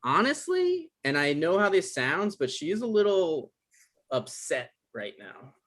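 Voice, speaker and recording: A man's voice: on the high side, big pitch swings, measured pace, fairly bright tone. A man playing an evasive, defensive guy in a table read, stammering and hedging in an awkward conversational way. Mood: flustered, apologetic. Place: online live stream table read.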